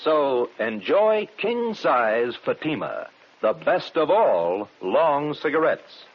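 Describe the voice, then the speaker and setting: A man's voice: a little high, very animated, slow, slight background noise. A man reading a vintage radio commercial in an emphatic, sing-song announcer style. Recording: dull vintage radio recording.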